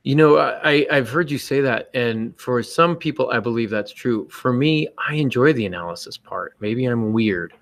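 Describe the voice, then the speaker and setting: A man's voice: medium pitch, normal speed, creaky, few pauses. A man hosting a podcast, casually explaining his views on writing in a conversational way. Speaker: podcast host and writer.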